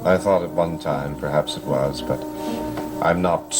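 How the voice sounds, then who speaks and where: An actor playing a courteous, troubled gentleman of the house in a gothic soap opera, formal, clipped and reserved. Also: rumbling low, fairly even intonation, a little slow. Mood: earnest, troubled. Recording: old TV soundtrack with loud music.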